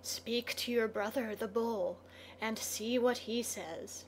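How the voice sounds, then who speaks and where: Storybook scorpion villain played by a woman: quiet, restrained, slow, coolly threatening. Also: a little high, a little hiss or hum, rough recording. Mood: cold, menacing. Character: sinister, coolly menacing scorpion of the darkness.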